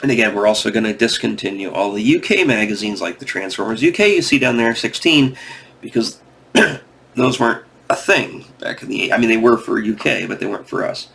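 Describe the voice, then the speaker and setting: A man from the United States hosting a comic book video, rambling casually, tired and going off on tangents, with some gravel in his voice. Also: lowish voice, fairly quick, a bit bright. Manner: meandering, restarts often, goes off on tangents. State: tired, yawning.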